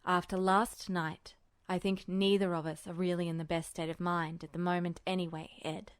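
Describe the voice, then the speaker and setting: Woman doing calm older guy for an audio story, low n smooth, quiet, reassuring, really dry sound. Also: dry recording, close to an everyday voice. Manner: quiet, measured, steady. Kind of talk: audiobook fan fiction reading.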